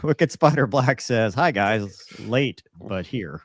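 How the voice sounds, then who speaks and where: A man's voice: lowish voice, expressive, slow pace, no room sound, clean studio sound. A man co-hosting a casual live stream, reading viewer comments aloud and joking around. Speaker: co-host reading viewer chat.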